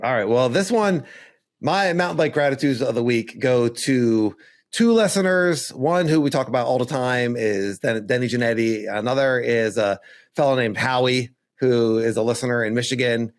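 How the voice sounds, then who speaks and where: A man's voice: mid-range voice, normal speed. A talkative podcast host with a Philadelphia accent, chatting in an upbeat, easygoing way. He is a man with a mid-range voice who laughs easily. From Philadelphia suburbs, USA. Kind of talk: casual chat.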